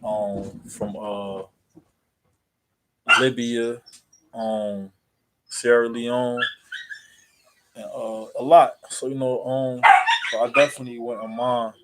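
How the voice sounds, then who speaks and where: Man, American accent, narrow pitch range, halting rambling speech, casual livestream host. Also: little pitch movement, heavy background noise. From New Jersey, USA. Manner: halting, repetitive, filler-heavy rambling.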